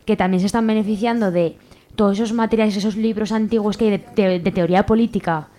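Spanish woman talking casually on radio, medium pitch, emphatic, rephrases as she goes. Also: fairly even intonation, fast, warm tone. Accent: Spanish from Spain. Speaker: radio guest from a community library collective. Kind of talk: casual spoken radio interview.

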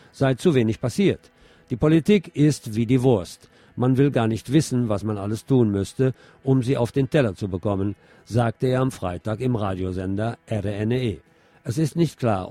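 A man's voice: on the low side, dark tone, no room sound. A man reading scripted news as a radio presenter.

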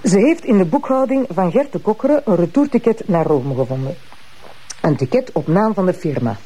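A woman playing a blunt, teasing, sharp-tongued prosecutor married to a police inspector in a TV crime drama, with a Flemish accent. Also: lowish voice, lively intonation, fairly quick, husky. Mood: brisk, explaining. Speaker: actress in a TV crime series. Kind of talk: naturalistic TV drama acting.

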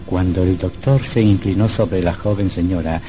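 An actor playing a sinister storyteller, narrating an old radio horror drama in a theatrical, literary style. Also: male voice, low-pitched, fairly even intonation, moderate pace, mellow, slight background noise, lightly acted. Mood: ominous.

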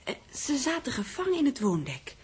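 An actress playing a curious, naive and concerned young female secretary in a 1960s Dutch radio drama, with a breathy voice. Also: high-pitched, wildly swooping pitch, brisk, a little hiss or hum.

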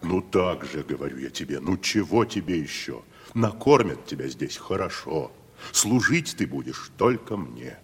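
A man's voice: rumbling low, big pitch swings, measured pace, husky, full character voice. An actor playing a pompous, down-at-heel provincial tragedian who talks down to his companion in a classical radio comedy. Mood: scornful. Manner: patronising, grandly condescending. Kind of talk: Russian classical stage comedy, radio play. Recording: reverberant 1970s radio drama recording.

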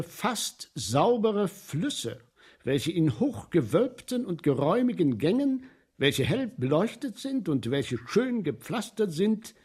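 A deferential inspector in a radio drama. His voice sits a little high and is husky, with animated intonation. He is formal, deliberate and pedantically insistent. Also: exaggerated voice. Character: deferential, earnest, pedantic male sewer inspector. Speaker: radio play actor. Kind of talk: 1970s German radio play acting.

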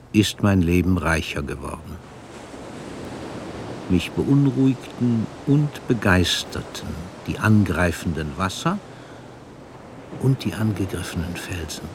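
An actor playing a celebrated older male poet who reflects solemnly in a measured, declamatory radio play monologue. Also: low voice, full character voice.

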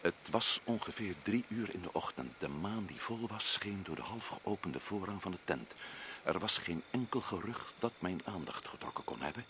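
A man's voice: deep voice, lively intonation, normal speed, a bit bright, slight background noise. A man playing a stern expedition leader in a radio play, questioning and giving curt orders. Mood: reading aloud. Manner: probing, commanding.

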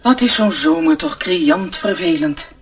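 An actress playing a prim, fretful potbelly stove in a radio play, complaining and indignant. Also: female voice, deep voice, animated, measured pace, far from the mic, faint music behind. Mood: self-pitying.

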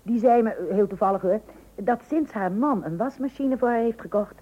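A woman's voice: a little high, moderate pace, husky. An actress playing a chatty, coaxing housewife and mother in an old radio comedy. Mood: coaxing, eager. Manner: eager, wheedling, hesitant interjections. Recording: dull, muffled old radio recording.

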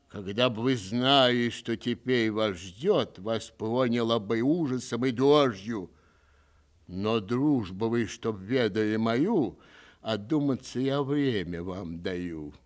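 A man's voice: medium pitch, voice pushed down. A man voicing a pompous, menacing colonel who interrogates with unctuous fatherly sorrow, in theatrical verse recitation.

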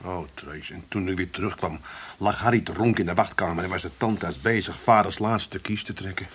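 An actor playing a sheepish, chatty grown-up son in a comic radio play, husky and casual. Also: male voice, sits low, a little fast, over music, close to an everyday voice. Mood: sheepish recounting.

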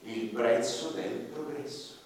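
A man's voice: medium pitch, takes it slowly, close to an everyday voice. A man playing a wistful ordinary man. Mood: reflective. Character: ordinary man mourning his demolished home. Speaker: first-person narrator in a radio play. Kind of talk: stage radio-theatre monologue. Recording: echoey hall from far off, faint noise. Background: violin music.

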